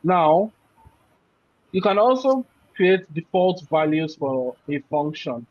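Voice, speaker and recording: A man's voice: a little high, big pitch swings, slow, darkish tone, no room sound. A man teaching a programming class. He walks through code step by step and keeps checking in with "right?". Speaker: programming instructor. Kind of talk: live coding class explanation. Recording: muffled, dull audio.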